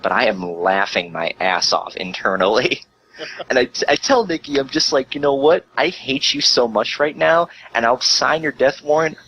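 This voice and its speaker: A man's voice: medium pitch, animated, moderate pace, a bit bright, a little hiss or hum, poor audio. A man telling casual, rambling stories as a podcast guest, swearing freely and peppering his speech with filler words. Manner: profane, rambling, uses the word like heavily.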